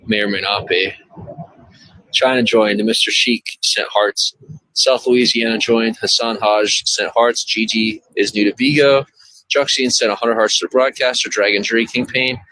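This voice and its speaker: A low-voiced man from the USA streams live from his phone while sheltering under a highway bridge. He reads out each viewer who joins and each gift in a steady running list. The sound is dry and close. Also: a little slow, dry recording, poor audio. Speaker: livestreamer. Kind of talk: live stream chat reading.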